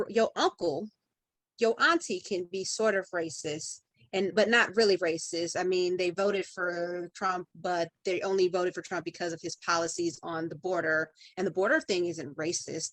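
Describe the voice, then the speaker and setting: A woman's voice: on the low side, moderate pace, a bit bright, dry recording, rough recording. A woman speaking as a podcast guest in conversation, emphatic and building her points through repeated phrases.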